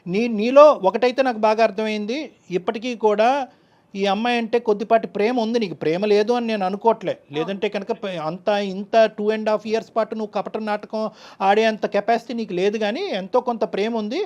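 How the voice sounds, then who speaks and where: Female counselor, rapid delivery, admonishing and wordy, mediating off the cuff. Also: mid-range voice, steady tone, darkish tone. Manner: admonishing, emphatic, wordy with rhetorical questions. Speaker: counselor mediating a couple's dispute. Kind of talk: spontaneous counseling talk on a show.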